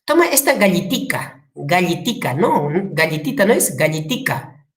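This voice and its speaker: Peruvian woman teacher, low voice, lively lecture delivery with acted-out quotes. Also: moderate pace. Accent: Peruvian Spanish. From Peru. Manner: lively, acts out quotes, asks the class questions. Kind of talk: classroom lecture.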